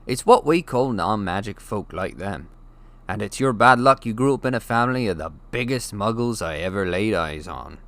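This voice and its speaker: A man voicing a huge, gruff, hearty male giant in a storybook reading, with rustic phrasing and booming exclamations. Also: lively intonation, normal speed, fairly bright tone, no room sound. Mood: exclaiming. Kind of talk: storybook character voice in an audiobook reading.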